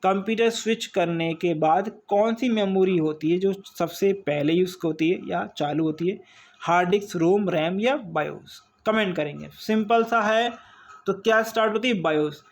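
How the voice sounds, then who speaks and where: A man's voice: sits high, moderate pace. A man with an Indian accent giving an informal exam-prep lesson, reading out quiz questions and explaining them with repeated check-in questions. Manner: repetitive, checks in with listeners using questions and frequent tags. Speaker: online exam-prep teacher.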